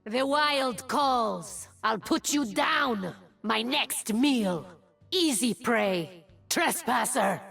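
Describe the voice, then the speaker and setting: A fierce shapeshifting jungle huntress from a video game. She speaks slowly in short, deliberate lines with proud menace. Music plays underneath. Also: a little high, animated, creaky, fairly bright tone, husky, recorded from a distance, full character voice. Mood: menacing. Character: fierce, proud adult huntress who shifts into a cougar.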